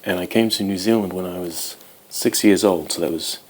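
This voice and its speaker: Low-voiced young Welsh-born man rambling. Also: moderate pace, a little mellow, slight background noise. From Wales. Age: 20s. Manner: chatty and rambling, many ums and you-knows. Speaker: guitar channel host. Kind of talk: casual talk to camera.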